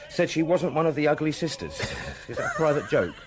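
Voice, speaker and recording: An actor playing a loyal, cheerful police sergeant, a deferential and gently teasing sidekick in a radio drama. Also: male voice, fairly high pitch, very animated, brisk. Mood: amused.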